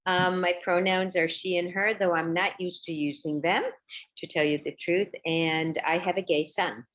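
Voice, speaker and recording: A woman's voice: fairly low pitch, fairly even intonation, normal speed, fairly bright tone, rough recording. An older woman, a congregation member, introducing herself in a chatty, self-deprecating way.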